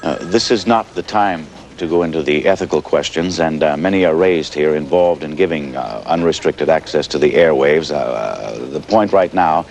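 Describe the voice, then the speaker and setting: An actor playing a grave male network news executive, speaking formally and haltingly in a live TV discussion. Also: extremely low pitch, a little mellow. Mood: grave, hesitant. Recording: faint noise, 1980s TV broadcast.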